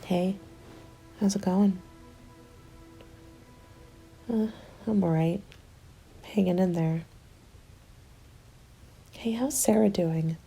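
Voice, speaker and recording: A woman playing a weary survivor of a zombie winter in a soft, breathy, confiding ASMR roleplay. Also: fairly low pitch, takes it slowly, long pauses, soft background music, rough recording. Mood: weary, restless.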